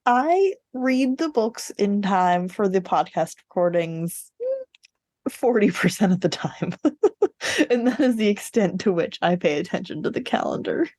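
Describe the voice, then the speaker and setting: A woman's voice: medium pitch, sing-song, measured pace, darkish tone, no room sound. A woman co-hosting a podcast in casual chat, with a wry, self-mocking, joking way of talking. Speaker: podcast co-host.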